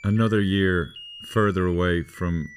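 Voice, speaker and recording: A low-voiced man in his fifties talks on a podcast. He rambles and swears through self-mocking stories, drifting from one aside to the next. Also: steady tone, a little slow, a little hiss or hum. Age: 50s. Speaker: podcast host. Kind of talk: casual comedic chat.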